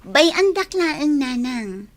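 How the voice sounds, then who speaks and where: A woman's voice: sits high, big pitch swings, slow pace, heavily acted. A woman playing a vain, pert young village beauty with an Ilocano accent in a comedy radio drama. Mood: defiant, breezy.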